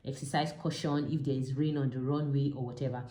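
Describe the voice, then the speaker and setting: A woman's voice: a bass voice, steady tone, a little fast, mellow. A Nigerian woman with a Nigerian accent giving an informal, step-by-step lesson as an instructor, repeating key terms as she explains. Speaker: pilot and aviation instructor. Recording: echoey, reverberant room.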